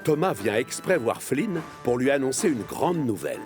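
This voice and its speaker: A man's voice: mid-range voice, sing-song, normal speed. A man narrating a scripted children's story as the storyteller of a cartoon. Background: music.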